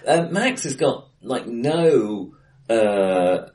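A man co-hosting a casual film discussion, speaking in a hesitant, halting but enthusiastic way in a bright-sounding room. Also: medium pitch, big pitch swings. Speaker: podcast co-host. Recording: distant, bright echoey room.

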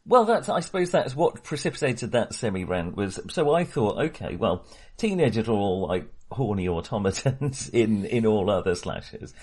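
A man's voice: lowish voice, big pitch swings, normal speed, few pauses, darkish tone, slightly rough. A man co-hosting a film podcast in casual discussion, talking hesitantly, often interrupting himself and rambling.

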